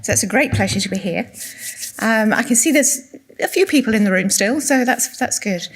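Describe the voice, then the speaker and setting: A soft-spoken woman with a slightly high voice presents in a conference room. She speaks as a clinical nurse specialist and keeps the audience involved with questions. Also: expressive, moderate pace, low-quality recording.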